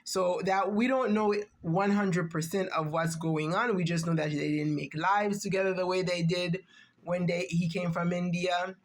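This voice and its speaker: A woman's voice: fairly low pitch, steady tone, moderate pace, slight background noise. A woman giving a reaction-channel commentary monologue, emphatic and rhetorical, often checking in with the listener.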